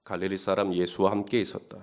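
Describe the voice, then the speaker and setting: A man's voice: sits low, little pitch movement, fast, a little mellow. A male pastor preaching a Holy Week sermon. He talks in a conversational way and builds up to emphatic questions. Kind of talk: sermon at early-morning Holy Week service.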